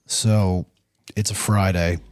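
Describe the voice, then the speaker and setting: A very low-pitched man, hoarse and losing his voice, hosting a relaxed chat podcast with his children. The sound is close and dry. He talks in an easygoing dad manner. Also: slow pace, no room sound. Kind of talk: casual family chat.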